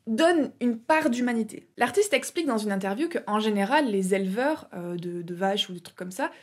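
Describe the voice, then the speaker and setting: A young woman casually explaining an artwork in a chatty way, with frequent filler hesitations. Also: mid-range voice. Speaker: YouTube art explainer. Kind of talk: casual educational video narration.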